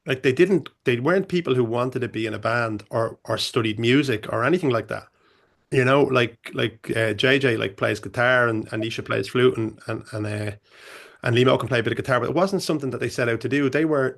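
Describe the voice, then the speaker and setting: A man's voice: medium pitch, gravelly, a bit bright. A man, a band manager answering on a music-industry panel, talking loosely with many restarts and fillers. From Ireland. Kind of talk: panel discussion.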